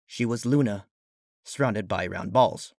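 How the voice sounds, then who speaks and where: A man's voice: lowish voice, unhurried, a little mellow, dry recording, studio quality. A man reading a fan fiction story as a scripted narrator. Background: background music.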